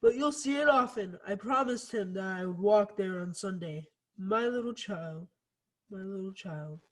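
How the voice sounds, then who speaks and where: A man's voice: high-pitched, normal speed, fairly bright tone, poor audio. A male performer playing a meek, tender father and clerk in a reader's theatre piece. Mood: tender grief.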